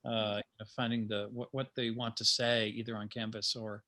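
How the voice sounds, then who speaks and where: A man speaking informally as a playwright on a panel, in a halting way with repeated words and trailing thoughts. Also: on the low side, little pitch movement, pushed and bright.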